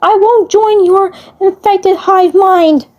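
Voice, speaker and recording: A woman voicing a terrified young cartoon boy who stammers and repeats frantic pleas in a shrill, strained voice. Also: fairly even intonation, takes it slowly, darkish tone, lo-fi sound. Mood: panicked, pleading.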